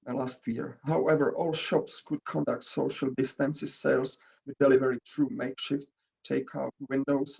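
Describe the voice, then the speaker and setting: A man from the Czech Republic with a Czech accent, presenting slides in a webinar in halting English full of pauses and restarts. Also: medium pitch, gravelly, darkish tone, slightly rough, poor audio. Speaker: webinar presenter, nursing educator. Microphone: video call.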